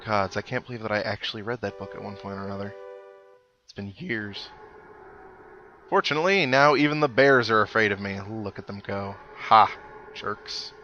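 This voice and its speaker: A man's voice: lowish voice, normal speed, sharp tone, muffled recording. A man giving casual, wry commentary as he hosts a video game playthrough. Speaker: video game let's play host. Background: video game music.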